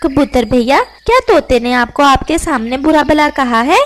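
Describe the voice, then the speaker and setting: A woman voicing a sensible, gentle female little bird who soothes and reasons in a children's cartoon story. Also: sits high, moderate pace, a little hiss or hum, voice pushed down, lightly acted. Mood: concerned, reasoning. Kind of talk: animated children's moral story dialogue.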